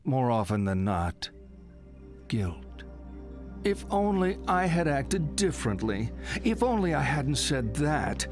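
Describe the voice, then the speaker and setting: A slow, cynical older psychiatrist. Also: male voice, mid-range voice, big pitch swings, soft background music. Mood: cynical, musing. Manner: deliberate, needling, theatrical. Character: older, cynical, probing male psychiatrist, theatrically morbid. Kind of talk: video game monologue.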